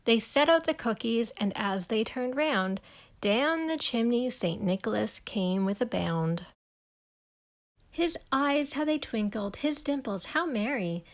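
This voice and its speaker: Slow-paced woman reading a children's storybook. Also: on the high side. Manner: expressive, unhurried, measured rhyming delivery. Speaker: storybook reader. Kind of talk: children's read-aloud storytelling in verse.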